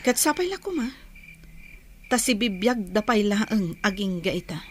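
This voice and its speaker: Caring woman, solemn, urgent, mid-pitched, radio drama delivery, faint background noise. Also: very animated, unhurried. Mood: solemn, consoling. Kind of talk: Ilocano radio drama acting.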